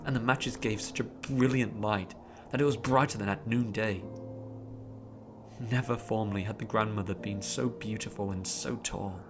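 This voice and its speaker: A man narrating a story in a dramatic, expressive audiobook style, drawing out words theatrically. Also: on the low side, moderate pace. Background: music behind the voice.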